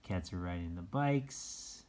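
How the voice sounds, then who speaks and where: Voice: low voice, lively intonation, slow pace, a little mellow. A person reading a children's picture book aloud in an informal, chatty way, adding asides and explaining words simply to young listeners.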